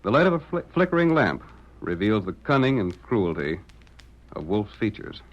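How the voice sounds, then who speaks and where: Dramatic, lively radio announcer man. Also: on the low side, normal speed, dull audio. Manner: sweeping theatrical delivery. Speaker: announcer and narrator. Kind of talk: dramatic 1930s radio narration.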